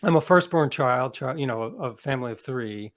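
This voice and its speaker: Male interview guest, mid-pitched, self-assured storyteller, reflective and conversational, slips into quoting his own thoughts. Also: animated, fairly quick. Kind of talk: conversational interview, personal anecdotes.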